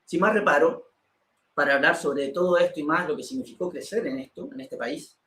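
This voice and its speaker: A man's voice: fairly high pitch, a little fast, fairly bright tone. A man presenting a political interview show as host, reading from prepared notes. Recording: roomy, echoey room sound.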